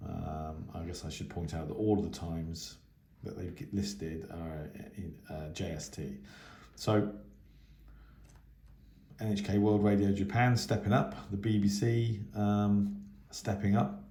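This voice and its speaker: A man giving a casual, unscripted radio hobby news update in a hesitant, halting way. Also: sits low, steady tone, dark tone. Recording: echoey, roomy sound.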